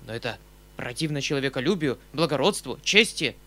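A man voicing an agitated middle-aged gentleman uncle from an audiobook novel, emphatic and rushed, flaring into commanding outbursts. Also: medium pitch, animated. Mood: earnest, pleading. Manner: emphatic, breathless, rushed exclamations in short bursts.

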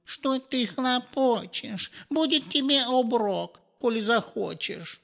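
A man voicing a small, nervous, scheming male imp in a storybook reading, with a squeaky put-on voice. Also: lively intonation, slow, creaky, a bit bright, a little hiss or hum, exaggerated voice. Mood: wheedling.

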